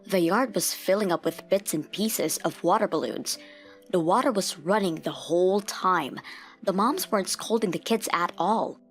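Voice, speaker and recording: A woman narrator with a fairly low voice tells a scripted comic-dub story. Light music plays underneath her. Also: normal speed.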